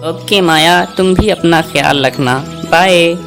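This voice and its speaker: A man's voice: a little high, measured pace, gravelly, a bit bright, soft background music. A man playing a polite, thankful young boy in a children's cartoon tale, speaking in a friendly way. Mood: grateful, friendly.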